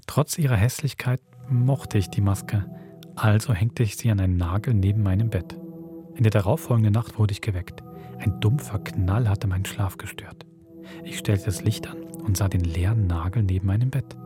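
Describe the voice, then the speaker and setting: A man with a Swiss accent hosting a podcast, reading a spooky story aloud in a measured storytelling manner. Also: fairly low pitch, music in the background. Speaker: podcast host.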